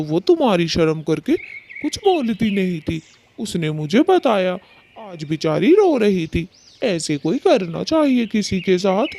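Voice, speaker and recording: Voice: medium pitch, warm tone, a little hiss or hum. A performer voicing a male cartoon bird with a theatrical, complaining and pleading way of talking. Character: dramatic male cartoon bird, husband or neighbour, plaintive. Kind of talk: Hindi children's cartoon story dialogue.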